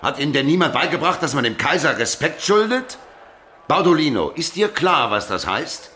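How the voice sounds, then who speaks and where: An actor playing a blustering, imperious medieval emperor in a radio drama, indignant and booming. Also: male voice, very animated, normal speed, sharp tone, slight background noise. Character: hot-tempered, blustering medieval German emperor.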